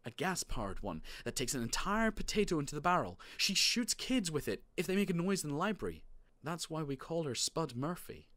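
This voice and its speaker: Man acting young schoolboy, high-pitched, very animated, insistent, melodramatic, storybook character, dry close sound. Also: fairly quick, no room sound. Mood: dramatic warning. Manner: emphatic, melodramatic. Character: excitable young schoolboy telling tall tales, insistent.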